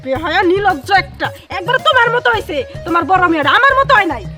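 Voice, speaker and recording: A woman voicing a hot-tempered cartoon mother, shrill and berating, in colloquial Bangladeshi speech. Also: very animated, rapid-fire, exaggerated voice. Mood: scolding, furious. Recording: loud music bed behind voice.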